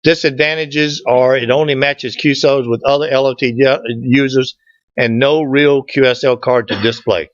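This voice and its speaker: A man presents slides in a casual, folksy way and jokes with the people listening. His voice sits at a middling pitch. The recording is dull and dry, with little echo. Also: fairly even intonation, normal speed. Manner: folksy, joking with the audience. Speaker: club presenter. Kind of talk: informal slide presentation with audience back-and-forth. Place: meeting room, standing at a lectern indoors.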